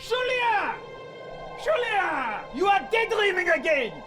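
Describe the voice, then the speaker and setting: A man playing an irate, bullying boss who shouts and rants theatrically in an audio drama. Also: very high voice, very animated, slow, over loud music, heavily acted. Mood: furious, scolding. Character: irate, bullying male boss scolding his janitor.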